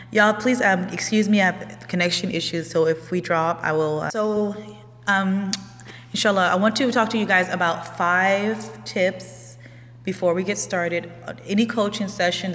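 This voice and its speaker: A woman's voice: on the low side, a bit bright, in a large hall. A woman, a life coach talking casually and loosely on a live stream, with many ums and restarts. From Houston, Texas.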